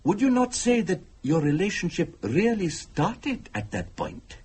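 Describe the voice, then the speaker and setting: An actor playing a formal, courteous mystic who speaks deliberately and eerily in a dramatic radio thriller. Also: male voice, high voice, huge pitch swings, a little mellow, breathy edge, heavily acted. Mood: measured, courteous. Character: calm, eerie male mystic telling of curses and spirits.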